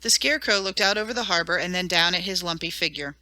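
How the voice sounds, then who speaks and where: A woman narrating a storybook audiobook in a clear, even manner. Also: fairly low pitch, fast, no echo.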